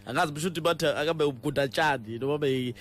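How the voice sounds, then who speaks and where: Man playing a fast, stammering, indignant Zulu father. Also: a little high, a bit bright, slight background noise, close to an everyday voice. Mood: indignant, confused. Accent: South African Zulu. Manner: stammering, repetitive, argumentative. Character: indignant, stubborn, rambling father complaining about his son's school. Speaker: radio prank caller. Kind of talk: improvised prank phone call.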